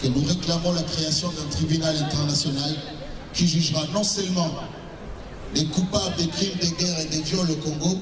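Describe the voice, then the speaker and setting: A man's voice: fairly high pitch, fairly even intonation, normal speed, music in the background. Echoey distant man rallying a crowd. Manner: declaiming in short rallying phrases. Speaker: youth association spokesman. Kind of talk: impassioned protest speech. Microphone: far from the mic.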